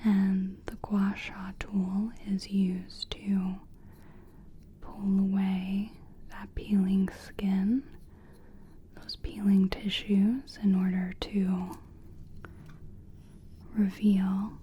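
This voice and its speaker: A woman giving a soothing, gentle ASMR personal attention roleplay in a breathy whisper, speaking deliberately. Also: mid-range voice, completely flat delivery, lo-fi sound, a little hiss or hum. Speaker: ASMR creator playing a soul-cleansing practitioner.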